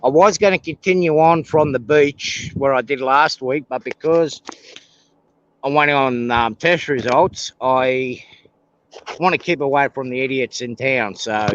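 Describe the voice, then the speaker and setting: A man's voice: medium pitch, a little slow, dull audio, a little hiss or hum. A man, hosting a live stream outdoors and casually explaining local rail history as he walks. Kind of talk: casual walking tour chat. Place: outdoors near a rail yard.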